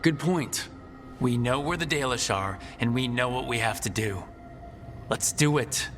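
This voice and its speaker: An actor playing an earnest, determined adventurer in a fantasy game, steady and resolute. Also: male voice, mid-range voice, unhurried, soft background music.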